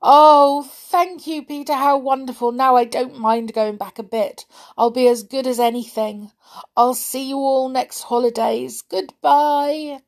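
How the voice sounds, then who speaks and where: A woman voicing a naughty little male brownie in a children's story, high and wailing. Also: steady tone, measured pace. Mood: delighted.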